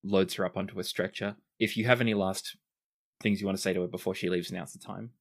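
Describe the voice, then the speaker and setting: Low-voiced man running a tabletop game. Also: fast talker, creaky. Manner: relaxed, with fillers, voices the characters. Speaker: game master.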